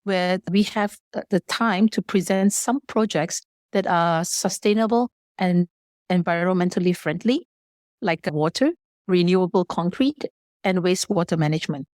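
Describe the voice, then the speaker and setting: Low-pitched woman from Malaysia, podcast guest. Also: moderate pace, a little mellow, dry recording, clean studio sound. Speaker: podcast guest, business partner and founder. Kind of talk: prepared, explanatory interview answers.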